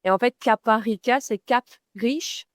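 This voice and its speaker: A woman's voice: fairly high pitch, measured pace, no room sound, clean studio sound. A woman, a women's health coach answering interview questions casually and hesitantly, with many fillers. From Belgium.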